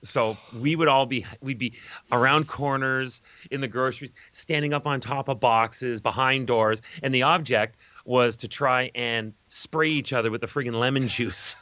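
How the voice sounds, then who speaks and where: A man's voice: mid-range voice, fairly even intonation, normal speed, sharp tone, no room sound. Jokey middle-aged man with dry, close sound. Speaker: co-host of a live comedy film-riffing show. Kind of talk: casual chat, comic storytelling.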